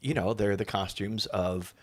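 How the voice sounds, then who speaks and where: Halting, low-voiced man explaining things. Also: husky, no room sound. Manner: halting, searching for words. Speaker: actor being interviewed. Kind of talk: casual, explanatory interview talk.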